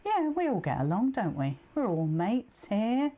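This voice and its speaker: A woman's voice: medium pitch, big pitch swings, measured pace, dark tone. A woman giving a chatty live craft demonstration as a sewing tutor, bantering self-deprecatingly with viewers and talking through each step. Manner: talks aloud through each step, checking with okay. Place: indoors at a sewing machine.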